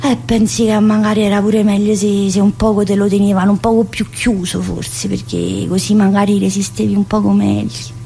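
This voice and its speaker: A woman's voice: medium pitch, flat delivery, fairly quick, creaky, few pauses, warm tone, slight background noise, lightly acted. An actress playing a talkative, teasing, wounded woman prisoner in a theatrical monologue, with a southern Italian accent and a rambling, colloquial manner. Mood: wistful, emotional. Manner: rambling, colloquial, full of tag questions. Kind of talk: theatrical monologue in colloquial dialect-tinged speech.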